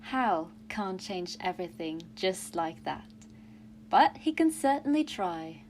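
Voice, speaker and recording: An actress playing a dry, blunt, no-nonsense co-narrator in an audio play. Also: female voice, on the low side, sing-song, takes it slowly, a little mellow. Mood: dry, reflective. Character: grumbling, no-nonsense woman co-narrator.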